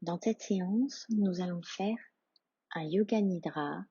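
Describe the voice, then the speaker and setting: Low, breathy woman guiding meditation slowly. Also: no room sound, lo-fi sound. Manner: hushed, calm, soothing, with long pauses. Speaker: yoga nidra instructor. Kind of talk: guided meditation, soft slow instruction.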